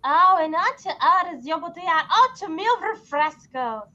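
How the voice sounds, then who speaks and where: Radio satire: boastful factory hand, broken grammar, shrill high pitch. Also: animated, unhurried, sharp tone, lo-fi sound, exaggerated voice. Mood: cheerful. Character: cheerful American factory worker Johnny speaking broken Spanish. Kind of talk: satirical radio drama sketch.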